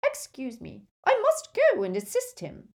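A woman doing a crisp, proud old witch grandmother. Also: high voice, huge pitch swings, measured pace, darkish tone, pitched above the performer's own voice, heavily acted. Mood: brisk, proud. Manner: crisp, imperious.

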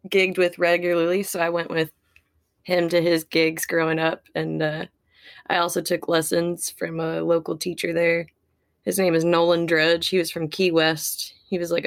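A woman's voice: lowish voice, creaky, dry recording, clean studio sound. A young adult American woman chatting casually as an interview guest, a singer-songwriter. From Ocala, Florida, United States.

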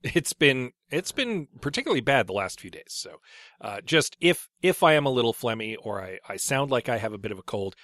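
A man's voice: medium pitch, fairly quick, dry recording. A man co-hosting a casual book podcast, a little phlegmy from allergies, recapping the chapter with dry humor. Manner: recapping chapters in a relaxed, wry way. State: congested with allergies. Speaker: podcast co-host.

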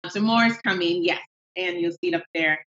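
Lively Nigerian woman on a roomy call. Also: on the low side, brisk. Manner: lively, animated, laughs easily. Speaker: guest entrepreneur. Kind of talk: casual interview chat. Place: at home. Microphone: video call. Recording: roomy, dull video call audio.

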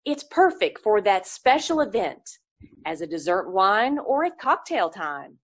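A woman announcer reading a scripted, promotional commercial in 1940s radio style, with deliberate delivery. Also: mid-range voice, big pitch swings, breathy edge.

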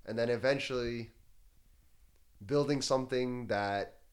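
A man in a casual chat, thinking out loud in a relaxed, drawn-out way. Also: medium pitch. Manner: relaxed, musing, drawn-out phrasing.

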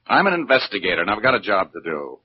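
An actor playing a brash, stubborn wisecracking private detective in a 1940s radio drama. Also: male voice, lowish voice, sing-song, fast talker, husky. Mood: insistent. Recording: muffled, noisy 1940s radio recording.

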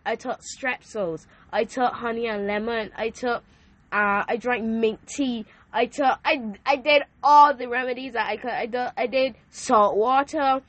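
A woman's voice: on the high side, fairly even intonation, measured pace, fairly bright tone, dry recording. Earnest young woman sharing a testimony. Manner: earnest, repeats herself, restarts often. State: hoarse from a sore throat. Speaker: podcast host. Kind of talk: personal testimony, casual faith talk.

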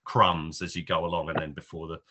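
A man with a very deep voice and a crisp, bright tone talks through a film review. His delivery is casual and rambling, full of hesitant, trailing clauses as he thinks aloud. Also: flat delivery, a little fast.

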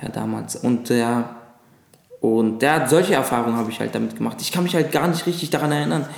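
A man in his thirties from Lebanon, whose first language is Arabic, recalling his childhood as an interview guest with frequent pauses and fillers. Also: mid-range voice, vocal fry. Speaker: guest, actor. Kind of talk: casual interview chat. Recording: echoey room.

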